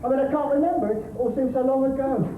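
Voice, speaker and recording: A man's voice: very high-pitched, steady tone, very fast. Echoey noisy theatre sound, man as sleep-deprived young husband, weary, wry, affectionate, stage play acting. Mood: wistful. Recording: tinny, noisy, echoey 1980s theatre recording.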